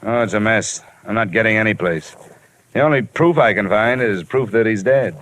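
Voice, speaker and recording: A man's voice: on the low side, moderate pace, fairly bright tone, natural voice. A man with an American accent acting a hard-boiled, weary insurance investigator in an old radio detective drama, clipped and wry. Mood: weary, businesslike. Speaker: lead actor and narrator. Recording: noisy old radio broadcast recording.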